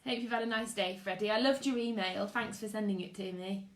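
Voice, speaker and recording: A woman's voice: mid-range voice, fairly even intonation, fairly quick. A British woman, a primary school teacher, chatting casually on a livestream and greeting viewers in a chirpy, sing-song way. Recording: roomy, echoey room sound.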